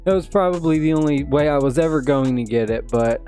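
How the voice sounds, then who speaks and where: A man's voice: a little high, brisk, warm tone, faint music behind. A man hosting a mobile gaming channel, still sick and losing his voice a little, rambling casually with lots of fillers. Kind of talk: casual commentary on a mobile game update.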